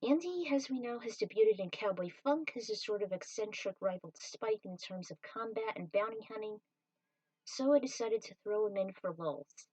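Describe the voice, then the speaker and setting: A woman's voice: mid-range voice, lively intonation, moderate pace, darkish tone, no echo, distant mic, rough recording. A woman giving an author's commentary on her own fan fiction story.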